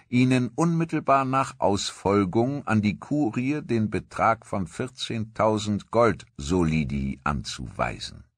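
Low-voiced man narrating history. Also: breathy edge, no echo. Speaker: documentary narrator.

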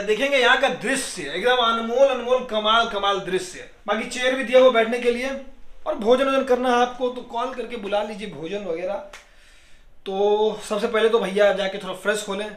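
A man's voice: very high-pitched, a bit bright. A young man in his twenties talking casually to viewers while travelling, filming himself on a phone held at arm's length. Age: 20s. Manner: chatty, addresses viewers directly. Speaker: travel vlogger. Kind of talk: casual travel vlog narration. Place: outdoors at a station and in a small hotel room. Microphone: phone camera held at arm's length.

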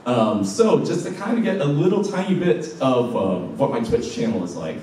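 A man's voice: medium pitch, very animated, moderate pace, far from the mic, noisy background. Chatty man on a panel, echoey hall. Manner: chatty, enthusiastic, lots of fillers. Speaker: streamer giving a convention panel. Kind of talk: casual panel talk. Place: convention panel room.

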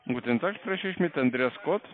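Foreign-accented man, high voice, cold call. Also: animated, moderate pace, slight background noise. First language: not German. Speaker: call-centre sales agent. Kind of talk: scripted phone sales pitch. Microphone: telephone. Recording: lo-fi muffled phone call.

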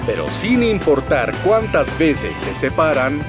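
A man narrating a children's cartoon in a gentle storytelling manner. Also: a little high, big pitch swings, takes it slowly, over music.

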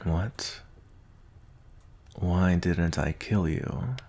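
Man playing a deep gravelly werewolf hunter. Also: darkish tone, heavily acted. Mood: calm, caring. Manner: slow, deliberate, murmuring. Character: gruff male werewolf bounty hunter with a hidden soft side. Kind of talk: ASMR roleplay, intimate scripted acting. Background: nature ambience.

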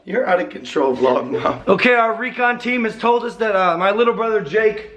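An American man in his early twenties chatting casually to a handheld camera in an animated, slangy, exclamatory way. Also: high voice, normal speed. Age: early 20s. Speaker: vlogger. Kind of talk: casual vlog chat. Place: indoors, apartment and gym. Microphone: handheld camera at arm's length.

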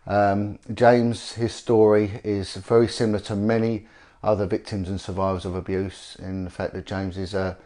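A middle-aged man from with a slight cold, interviewing a guest in a living room, speaking haltingly with many fillers. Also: fairly low pitch, level tone, warm tone. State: has a cold. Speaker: interviewer, former police detective. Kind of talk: sit-down interview. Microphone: lapel mic.

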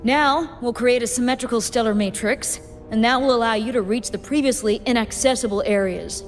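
An actress playing a calm, apologetic young woman guide in a video game, explaining carefully and politely. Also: a little high, brisk, close to an everyday voice. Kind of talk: game dialogue, expository. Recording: long reverb with music behind.

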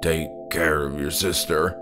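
A man voicing a big, loving father, speaking softly and cracking with emotion in a horror audiobook. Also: rumbling low, steady tone, unhurried, music in the background, pitched below the performer's own voice. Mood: tearful, tender. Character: loving, massive father, proud and grieving.

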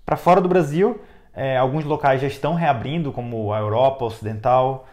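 Brazilian male vlogger, medium register, measured and explanatory, feels his way through phrases. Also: mid-range voice, darkish tone. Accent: Brazilian Portuguese. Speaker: travel vlogger. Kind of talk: casual explanation to camera. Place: indoor living room, seated on sofa.

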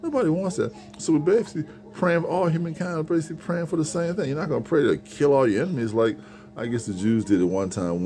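Middle-aged guy preaching into his phone, low gravelly voice, earnest and repeats himself a lot. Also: dark tone. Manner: earnest, repetitive, conversational preaching. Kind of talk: informal sermon. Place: small store room with shelves, indoors. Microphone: phone camera at arm's length.

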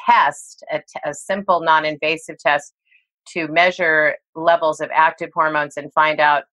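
An older woman, a guest expert, telling her story in a reflective, measured way during an interview. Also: deep voice, level tone, fairly bright tone, no room sound, rough recording.

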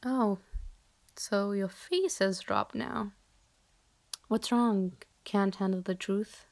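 A young woman voicing an irritated young woman who bluntly rebuffs a persistent admirer, with sarcasm and frequent breathy whispers. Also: medium pitch, slow, a little mellow. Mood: cold, mocking. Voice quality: often drops to a breathy whisper. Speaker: voice roleplayer. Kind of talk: improvised audio roleplay.

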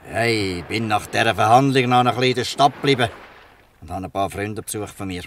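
A man's voice: fairly low pitch, fairly quick, slight background noise, lightly acted. An actor playing a fatherly, shrewd, bluff fisherman in a Swiss German radio play. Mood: kindly, persuasive. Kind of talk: Swiss dialect radio drama acting. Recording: slightly distant from the mic.

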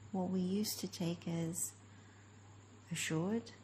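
A woman leading a guided meditation as a teacher, speaking gently in short, unhurried phrases with long pauses. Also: on the low side, steady tone, a little hiss or hum, rough recording. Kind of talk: guided meditation, slow spoken instruction.

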